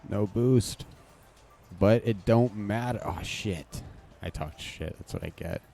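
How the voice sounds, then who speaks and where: Low-voiced male streamer, loose and cursing through live play, soft music underneath. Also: takes it slowly, creaky. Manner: casual, swearing, reacting to play. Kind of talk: live gameplay commentary.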